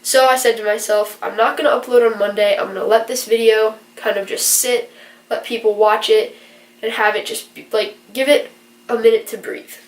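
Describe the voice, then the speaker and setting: A woman's voice: on the high side, fairly even intonation, normal speed, distant mic. A stuffy-nosed young woman, sick with a virus, earnestly explaining things in a rambling casual vlog, with plenty of fillers. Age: young adult. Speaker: vlogger and college student. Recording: roomy echo, from away from the mic.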